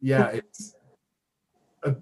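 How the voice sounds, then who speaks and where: A man's voice: extremely slow, darkish tone, a little hiss or hum, low-quality recording. A man with an American accent hosting a casual chat interview, talking informally and often interrupting himself as he goes. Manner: informal, rambling, self-interrupting. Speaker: podcast host and radio DJ.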